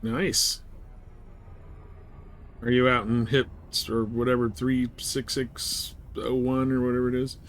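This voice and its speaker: Man, casual game streamer, mid-pitched voice, offhand short remarks, faint background noise. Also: flat delivery, takes it slowly, pauses often. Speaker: live streamer playing a space game. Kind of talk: casual gameplay commentary, chatting with viewers.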